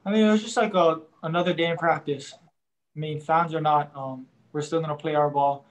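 A young man, a college quarterback, answering post-game press questions in a halting way, leaning on "I mean" and crediting his teammates. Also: a little high, fairly even intonation. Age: young adult. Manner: halting, starts nearly every phrase with "I mean".

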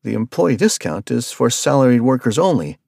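A man's voice: mid-range voice, very animated, moderate pace, vocal fry, darkish tone, dry recording, voice pushed up. Man doing a stiff, formal clerk. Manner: formal and curt. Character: stiff rule-bound male supply clerk.

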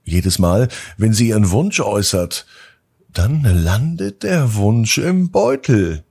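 Deep, creaky-voiced man telling bedtime stories. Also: big pitch swings. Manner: drawn-out, gentle delivery addressed to children. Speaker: host of a children's bedtime podcast. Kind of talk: bedtime storytelling, scripted narration.

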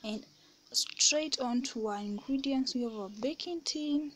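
A woman narrating her home baking video in a relaxed, soft-spoken way, often half-whispering. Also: high-pitched, measured pace, a little hiss or hum. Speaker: baking vlogger. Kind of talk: casual kitchen voice-over. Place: home kitchen.

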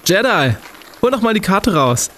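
A man's voice: high voice, sing-song, normal speed, background noise. A young man playing a bossy, pedantic student adventurer in a radio play, theatrical and officious. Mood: self-assured.

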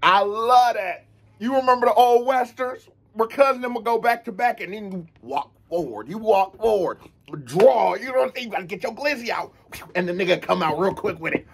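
Young american guy doing reaction commentary, kinda high husky voice, slangy and animated, repeats himself. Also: brisk. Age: young adult. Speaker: reaction video host. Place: indoors, room with unfinished basement ceiling.

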